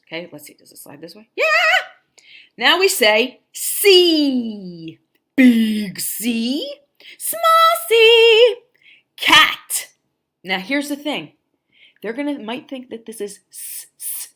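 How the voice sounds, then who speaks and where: Lively New Jersey teacher sounding out letters. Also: female voice, a little high. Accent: New Jersey, softened toward standard American. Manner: animated, sounds out letters slowly, checks in with "okay?". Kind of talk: livestream phonics lesson for parents.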